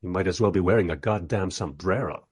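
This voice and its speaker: An actor playing a long-suffering documentary director in a radio play, narrating in a dry, sardonic, conversational and diplomatic way. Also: male voice, deep voice, brisk, breathy edge, dry recording. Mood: wry. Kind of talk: first-person radio play narration and dialogue.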